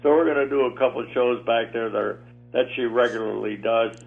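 A man of eighty-nine talks by phone, rambling and circling back on his words. His voice is gravelly and the line sounds thin and tinny, with faint music underneath. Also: medium pitch, fairly quick. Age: 80s. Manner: rambling, repeats words, chatty. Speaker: guest. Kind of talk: casual phone interview. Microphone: telephone.

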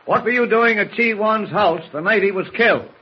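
An actor playing a gruff, impatient newspaper editor, blustery and demanding, in 1940s radio style. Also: male voice, high voice, moderate pace. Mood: demanding, alarmed. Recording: muffled vintage radio recording.

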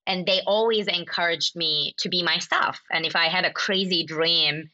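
Low, bright-voiced woman from Turkey. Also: normal speed, highs cut off. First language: Turkish. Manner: thoughtful, with frequent fillers and restarts. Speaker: podcast guest. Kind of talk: conversational interview answers.

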